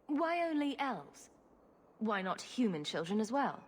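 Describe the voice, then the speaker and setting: A woman's voice: on the high side, sing-song, a little slow, slight background noise. A woman playing a bold, sardonic and righteous adventurer in a fantasy video game, dry and confident. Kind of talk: dramatic video game acting.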